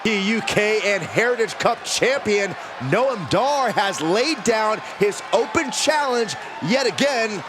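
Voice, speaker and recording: A man voicing a wrestling commentator, calling the action with excited exclamations. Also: sits high, takes it slowly, hardly any pauses, crowd noise, background noise. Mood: commentary. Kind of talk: sports commentary.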